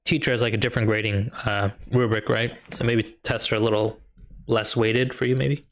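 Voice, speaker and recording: A young man from the US, a high school math teacher, chatting casually while playing a strategy game and answering viewers' questions. He thinks aloud with loose fillers and a light Chicago lilt. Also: flat delivery, a little fast. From United States. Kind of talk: casual live-stream chat while gaming.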